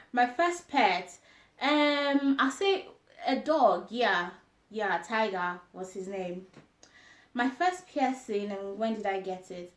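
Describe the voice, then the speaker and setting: A young woman with a Nigerian accent chatting casually as a vlogger, laughing often and pausing with ums. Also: a little high, animated, a bit bright, roomy sound. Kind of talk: casual chat answering tag questions.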